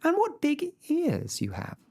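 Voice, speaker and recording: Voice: high voice, wildly swooping pitch, measured pace, gravelly, darkish tone, pitched above the performer's own voice, full character voice. A reader doing a chirpy little girl storyteller figure. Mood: chatty. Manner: eager, chirpy questions. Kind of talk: storybook reading.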